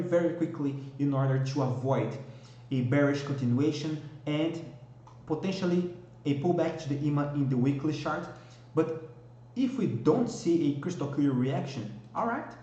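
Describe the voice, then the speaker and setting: Brazilian-accented male market analyst, a bit high-voiced, talks through charts with lots of "okay?" check-ins, recorded in a very echoey room. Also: mellow, dull audio. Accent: Brazilian Portuguese. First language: Portuguese. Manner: explanatory, frequent "okay?" and "all right?" checks. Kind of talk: technical analysis walkthrough.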